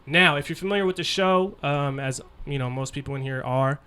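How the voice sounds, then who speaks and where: Fast, smooth hype podcast host man. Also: a little high. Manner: upbeat, hype, lots of "man" and "shout out". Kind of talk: casual interview chat.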